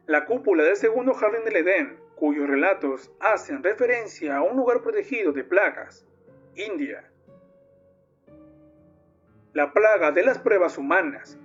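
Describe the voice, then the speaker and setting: Give a man performing a grave documentary narrator for an analog horror report, formal and measured in wording. The voice is husky and fairly high for a man. It comes through dull lo-fi audio. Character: formal, solemn male documentary narrator reporting eerie government findings.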